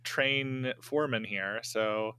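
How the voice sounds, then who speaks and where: A man's voice: fairly low pitch, slow, bright, forceful tone, clean tone. A man playing a tabletop game, chatting casually and hesitantly as he thinks aloud.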